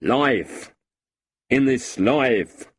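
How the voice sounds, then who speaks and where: A man voicing a boozy, sentimental lowlife with a husky voice and a blustering, self-pitying way of talking. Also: expressive, extremely slow, full character voice. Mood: maudlin.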